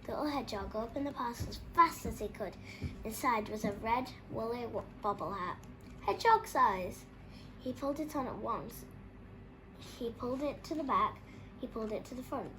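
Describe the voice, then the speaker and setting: High-voiced woman reading a picture book. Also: expressive, moderate pace, noisy background. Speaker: children's story reader. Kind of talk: expressive picture-book read-aloud.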